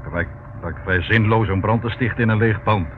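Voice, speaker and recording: Man acting male police chief, lowish voice, authoritative, clipped, radio drama, narrow phone-like sound with faint hiss. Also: a little fast, close to an everyday voice. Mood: brisk. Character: commanding male police commissioner, brisk and unflustered. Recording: narrowband old radio recording, faint noise.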